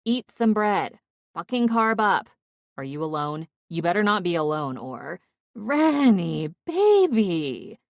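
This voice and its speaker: A woman's voice: fairly low pitch, very animated, slow, dry recording, full character voice. A woman narrator puts on a fed-up man looking after a drunk friend. He is bossy and scolding, an audiobook character recorded close and dry. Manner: scolding, exasperated. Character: exasperated sober man minding his drunk friend.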